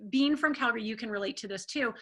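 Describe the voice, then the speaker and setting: Chatty woman in her 40s hosting a casual interview, bright voice, says like a lot. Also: a little high, fast talker. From Canada. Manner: chatty, lots of "like" and "you know". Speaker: interview host.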